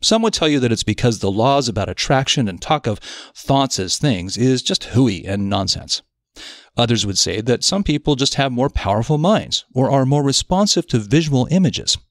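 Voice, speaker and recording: A man reading a scripted self-development article aloud in a measured, articulate, explanatory manner. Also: mid-range voice, lively intonation, dry recording, studio quality. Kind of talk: scripted narration of an audio article.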